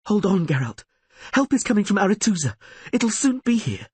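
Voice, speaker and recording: A man's voice: sits high, sing-song, moderate pace, a little mellow, breathy edge, dry recording, voice pushed up, full character voice. A man reading an audiobook voices a desperate young sorceress who speaks in a pleading, urgent way.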